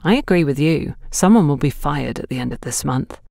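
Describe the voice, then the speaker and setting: A woman's voice: deep voice, lively intonation, a little fast, vocal fry, dark tone, no room sound, clean studio sound. A woman voicing a knowing female store colleague in an audiobook, gossiping and giving cautionary hints. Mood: confiding. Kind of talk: audiobook character dialogue.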